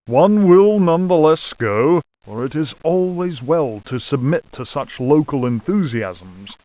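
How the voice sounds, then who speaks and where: Pompous aristocratic explorer, dry sound. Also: male voice, a little high, expressive, measured pace, dark tone, no room sound. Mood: condescending, skeptical. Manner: pompous, mannered, formal. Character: pompous, fastidious aristocratic male explorer of the 1820s. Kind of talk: dramatic travel journal reading in a horror game.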